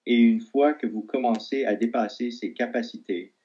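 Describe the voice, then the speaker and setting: A synthetic voice copy of a man, speaking translated lines with an even delivery. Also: medium pitch, fairly quick, highs cut off.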